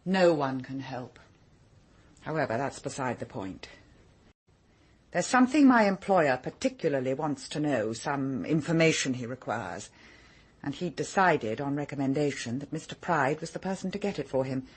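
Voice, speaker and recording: An actress playing a cool, haughty English secretary in a radio drama, speaking formally and with composure. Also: female voice, extremely low pitch, lively intonation, normal speed, breathy edge, full character voice. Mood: haughty, businesslike. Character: cool, brisk, haughty female secretary to a powerful man. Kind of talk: British radio drama acting.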